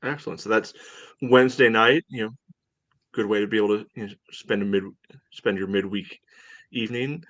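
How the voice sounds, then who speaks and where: A man with a fairly low voice hosts a casual sports podcast. His delivery is halting and meandering, padded with filler phrases. The sound is dry, with very little room in it. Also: steady tone, fairly bright tone, no echo. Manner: halting, meandering delivery with frequent "you know". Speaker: podcast host.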